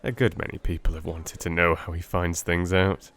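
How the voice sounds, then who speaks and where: A man playing a young gentleman friend in a theatrical audiobook, knowing and teasingly enigmatic in manner. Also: low-pitched, little pitch movement, moderate pace, no echo. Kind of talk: theatrical audiobook character acting.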